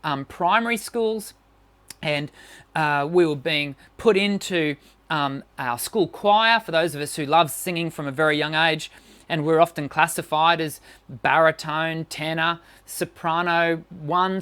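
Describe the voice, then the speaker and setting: A woman's voice: low-pitched, a bit bright. A woman voice teacher talking through a live-stream singing lesson, chatty, with many fillers and restarts. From Western country.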